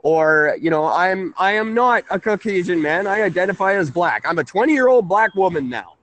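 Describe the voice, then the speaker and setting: A man hosting a conversational call-in radio show, halting and often interrupting himself. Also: sits high, animated, fairly bright tone, no room sound. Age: 30s.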